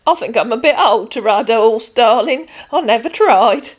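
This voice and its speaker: A woman's voice: on the high side, expressive, normal speed. A woman voicing a chatty 76-year-old retired dinner lady who calls everyone darling and takes everything literally. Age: 70s. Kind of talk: read-aloud storytelling.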